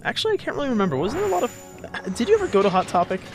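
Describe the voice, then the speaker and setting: A man's voice: extremely high pitch, huge pitch swings, rapid-fire. A man hosting a let's play with casual, jokey, self-deprecating gameplay commentary. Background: game music.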